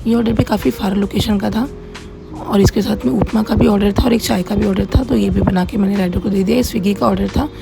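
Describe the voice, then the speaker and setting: A middle-aged woman narrating a casual home-kitchen vlog in a plain, everyday way, her voice slightly hoarse as if under the weather. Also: medium pitch, steady tone, quick pace. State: mildly unwell, voice a little hoarse. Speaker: home cook running a cloud kitchen, vlogger. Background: background music.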